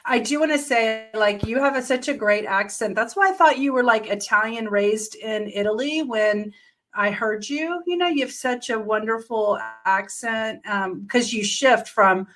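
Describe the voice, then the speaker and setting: A woman hosting an interview, asking halting questions and giving eager compliments. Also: a little high, a bit bright. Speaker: podcast host. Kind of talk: casual interview chat.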